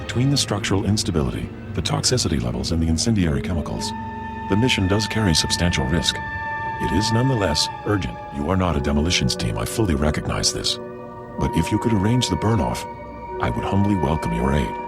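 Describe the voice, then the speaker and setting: An actor playing a humble alien scientist in a video game, speaking formally and precisely with measured apology. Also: male voice, a bass voice, big pitch swings, over loud music, exaggerated voice. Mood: grave, deferential. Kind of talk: video game dialogue acting.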